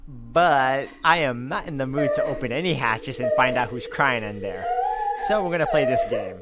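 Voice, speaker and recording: A man's voice: medium pitch, animated, normal speed, very noisy. A man playing and reading a horror visual novel aloud, mixing dramatic narration with casual asides as a let's player. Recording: loud music behind the voice.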